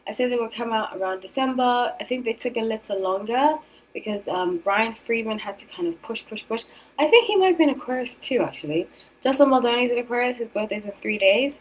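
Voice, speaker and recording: A woman's voice: on the high side, low-quality recording. A woman in her thirties from South Africa and England with a British accent, hosting a casual livestream in a rambling way, repeating words for emphasis. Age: 30s. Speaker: tarot reader and streamer host. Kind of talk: casual livestream chat with commentary.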